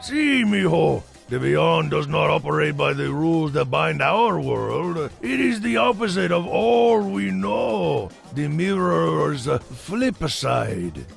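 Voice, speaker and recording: A man plays a doting cartoon papa with a high, gravelly voice and big swooping intonation. He speaks grandly and tenderly, reassuring his child like a showman. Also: takes it slowly, hardly any pauses, soft background music, exaggerated voice. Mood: explaining, reassuring. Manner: grand, expressive, gently reassuring. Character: doting, theatrical father guiding his child. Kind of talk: animated film voice acting.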